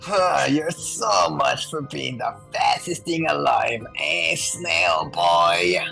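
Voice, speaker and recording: A man voicing a sneering, gloating mad-scientist villain with a gravelly, husky voice in an amateur comedic fanfiction reading. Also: lively intonation, slow pace, bright, forceful tone, full character voice. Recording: old-timey low-quality mic, music.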